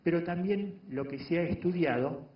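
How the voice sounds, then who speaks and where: Echoey man lecturing slowly. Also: fairly high pitch. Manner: measured, explanatory, frequent pauses and restarts. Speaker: doctor giving a talk on meditation. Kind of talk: lecture to an audience. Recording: echoey room, dull lo-fi sound.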